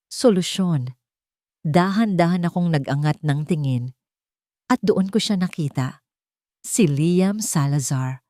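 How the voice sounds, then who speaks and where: Low-voiced woman narrating a romance. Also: warm tone, dry recording, clean studio sound. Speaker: story narrator. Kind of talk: dramatic first-person romance storytelling.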